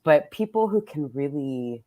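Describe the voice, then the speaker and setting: A woman chats as the host of an event in a casual, effusive way. Her speech is informal and quick to break into laughter. The sound is close and dry, with very little room. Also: deep voice, lively intonation, dark tone, no echo. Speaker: author hosting a virtual event. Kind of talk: casual chat.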